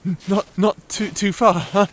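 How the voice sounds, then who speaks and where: Man playing a high-voiced, stammering nerdy wizard. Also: fairly even intonation, unhurried, a little hiss or hum. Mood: shivering, whiny. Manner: stammering, hesitant, apologetic. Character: shy nerdy young male wizard, anxious, rambling. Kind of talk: character acting in fantasy audio roleplay.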